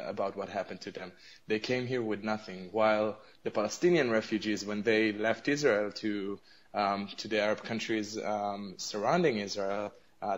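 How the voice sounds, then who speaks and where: Hesitant low-voiced man, Arabic native. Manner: hesitant, many fillers and restarts. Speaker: interview guest. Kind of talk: conversational interview answers.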